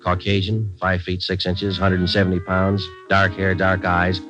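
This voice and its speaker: A man's voice: low-pitched, completely flat delivery, normal speed, lightly acted. An American man playing a laconic, businesslike detective sergeant in a 1940s radio crime drama, speaking in clipped, matter-of-fact lines. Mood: deadpan narration. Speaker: narrator and lead actor. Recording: dull vintage radio recording with music.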